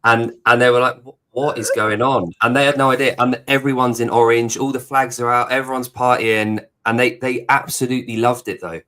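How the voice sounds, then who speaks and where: A man hosting a podcast, asking questions in a measured way. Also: mid-range voice, level tone, sharp tone. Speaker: podcast host. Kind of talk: interview.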